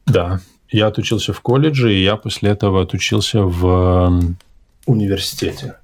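A man with a deep, slightly husky voice talks in a loose conversational way. He hesitates, circles back and rephrases as he thinks. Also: fairly quick, darkish tone. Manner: hesitant, self-correcting, reflective. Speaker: podcast host. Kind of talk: casual conversational interview. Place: abroad, in an English-speaking country.

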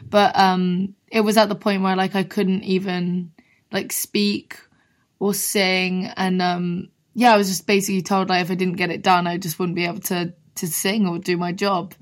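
Husky-voiced young woman, chatty and casual. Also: fairly low pitch, little pitch movement, normal speed. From London. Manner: chatty, peppered with "like". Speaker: singer-songwriter guest. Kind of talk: casual interview chat.

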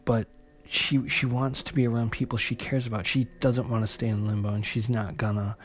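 Guy recapping a comic story, kinda halting, keeps restarting phrases, music playing under him. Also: mid-range voice, flat delivery. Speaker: host retelling a comic book story. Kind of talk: unscripted story recap, narration.

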